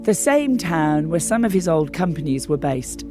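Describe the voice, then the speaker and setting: A hoarse woman with a low voice narrates a documentary podcast. She speaks in a measured, deliberate way, letting each detail land. Her voice sounds croaky and a little worn. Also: big pitch swings, music in the background. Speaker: host and investigative journalist.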